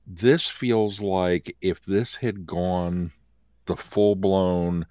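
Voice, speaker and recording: A man talking casually as a podcast co-host, opinionated and emphatic, often feeling his way through a thought in halting steps. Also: deep voice, fairly even intonation, dark tone, clean tone, dry recording. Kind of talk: casual fan discussion.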